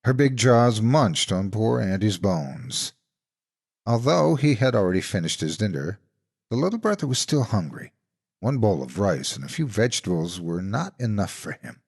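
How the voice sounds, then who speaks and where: A man narrating a horror folktale in an expressive, suspenseful, scripted storytelling style. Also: fairly low pitch, normal speed, gravelly, slightly rough.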